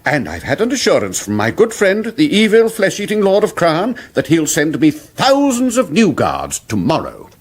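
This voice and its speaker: A man's voice: fairly high pitch, huge pitch swings, moderate pace, hardly any pauses, husky, full character voice. An actor playing a foolish, fussy medieval king in a radio comedy, politely dithering and pompous. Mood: flustered, defensive. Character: foolish, fussy, evasive medieval king, mild-mannered and oblivious.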